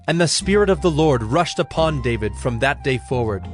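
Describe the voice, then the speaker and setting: A man narrating a scripted Bible story in a formal, measured storytelling manner. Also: mid-range voice, lively intonation. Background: music.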